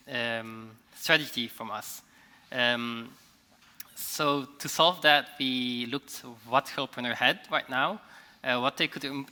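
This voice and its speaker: Young man, student, earnest, very bright, reverberant hall. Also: mid-range voice, clear, resonant tone. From Philippines. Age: young adult. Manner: earnest, many ums. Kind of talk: panel answers.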